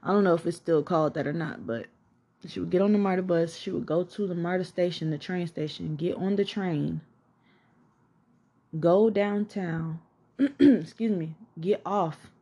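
A woman's voice: on the low side, moderate pace, mellow, breathy edge. A woman in her twenties from Atlanta with a Southern accent, hosting a podcast and candidly telling her life story in a chatty way, swearing and saying "like" a lot. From Atlanta, Georgia. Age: 20s. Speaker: podcast host. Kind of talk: casual personal storytelling.

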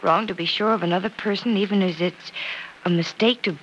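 An actress playing a gentle, self-doubting, affectionate sister in an old-time radio drama, with a tender, expressive delivery. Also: female voice, medium pitch, a little fast, dull audio, close to an everyday voice. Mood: doubtful. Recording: noisy vintage radio recording.

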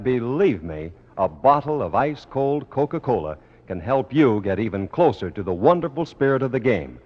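A man's voice: medium pitch, husky. A man working as a radio announcer, reading scripted copy in a 1950s broadcast style. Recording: dull old radio broadcast recording.

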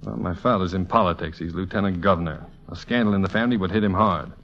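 A man's voice: deep voice, quick pace. A man playing a flustered, exasperated playboy artist in love, blustery and theatrical in 1940s radio comedy style. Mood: troubled, tender. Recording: dull old radio recording.

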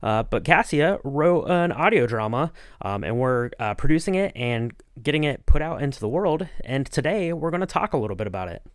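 A man's voice: mid-range voice, expressive, brisk, few pauses, dry recording. Male podcast host, informal and rambling, mid-range voice, dry recording. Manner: rambling, informal, often saying you know. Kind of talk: casual podcast interview.

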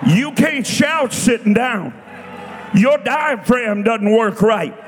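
An American man in his sixties preaching a fiery Pentecostal revival sermon, shouting and exhorting with repeated phrases. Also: very high-pitched, animated, slow, background noise. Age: 60s. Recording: reverberant large hall, music behind.

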